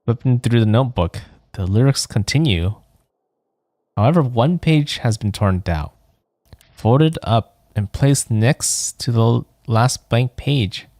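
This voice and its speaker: A man streaming a video game, reading the on-screen text aloud in a measured, subdued manner. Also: medium pitch, lively intonation, a little mellow, no echo, clean studio sound.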